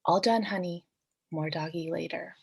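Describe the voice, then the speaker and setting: A woman's voice: on the low side, normal speed, gravelly, husky. Woman reading as a flustered, playful mother. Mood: quiet, soothing. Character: flustered, playful young mother reassuring herself and her son.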